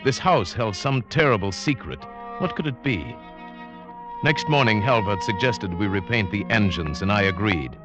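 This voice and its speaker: A man narrating an old-time radio drama in the first person, in a measured, brooding way. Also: fairly low pitch, animated. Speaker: narrator and lead. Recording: dull old radio recording with music.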